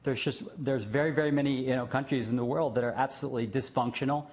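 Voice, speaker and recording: A man's voice: medium pitch, steady tone, fast, dull audio. Earnest male interview guest. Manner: earnest, with many fillers and short laughs. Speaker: guest, journalist and former diplomat. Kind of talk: remote interview.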